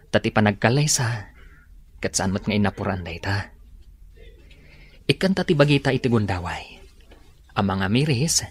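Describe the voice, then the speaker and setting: A man playing an earnest, tender young suitor in a radio drama, speaking softly and persuasively. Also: lowish voice, slightly rough. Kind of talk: radio drama dialogue acting.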